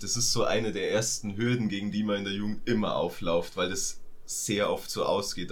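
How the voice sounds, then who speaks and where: A young man reflecting thoughtfully in a casual podcast chat. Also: on the low side. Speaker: podcast co-host.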